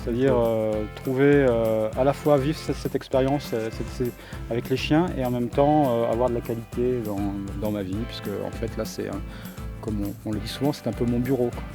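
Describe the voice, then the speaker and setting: A man's voice: mid-range voice, fairly even intonation, brisk, over music. A middle-aged man being interviewed casually, speaking hesitantly and often repeating his words. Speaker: interviewee, professional sled-dog musher.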